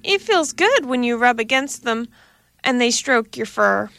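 Small fluffy male kitten, whiny and naive, high voice, played by a woman. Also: animated, measured pace, gravelly, fairly bright tone, exaggerated voice. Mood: whining. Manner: whining, pleading.

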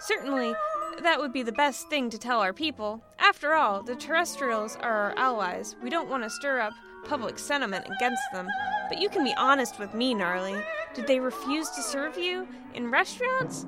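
A woman's voice: high-pitched, sing-song, normal speed, loud music behind the voice, pitched above the performer's own voice. A woman voicing a fussy, anxious male alien who pities his friend effusively in a story reading. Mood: probing, wounded. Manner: effusive, solicitous, overdramatic.

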